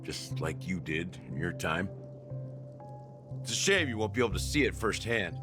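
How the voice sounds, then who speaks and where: A man's voice: low voice, expressive, normal speed. An actor playing a wry, world-weary male outcast in a game, musing in a drawl as if to an old comrade. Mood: wry, reflective. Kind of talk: dramatic game monologue. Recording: clear music behind the voice. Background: music.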